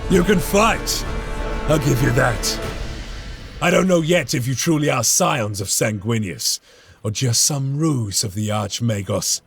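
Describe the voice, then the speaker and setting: Gravelly grim space marine sergeant. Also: male voice, mid-range voice, unhurried. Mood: stern. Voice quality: gravelly, husky. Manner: brooding, stern commands. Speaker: sergeant narrator. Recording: game cutscene with music.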